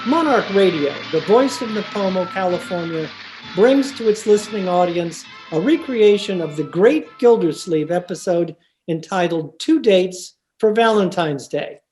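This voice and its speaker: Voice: mid-range voice, lively intonation, moderate pace, music in the background, rough recording. An announcer reading scripted narration in the style of old-time radio.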